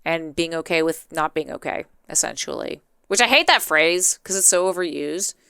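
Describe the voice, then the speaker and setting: Expressive woman streamer, very clear, bright voice. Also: sits low, normal speed, no room sound, clean studio sound. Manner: talkative, rambling with tangents; expressive, playfully dramatic. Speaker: live streamer. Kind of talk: casual chatty livestream commentary.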